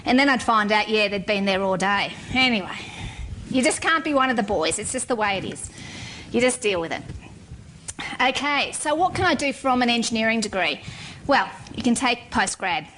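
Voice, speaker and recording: A woman's voice: fairly high pitch, lively intonation, moderate pace, a bit bright, a little hiss or hum, rough recording. A middle-aged woman, a civil engineer, giving a frank, wry talk to an audience. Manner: frank and wry, with blunt humor.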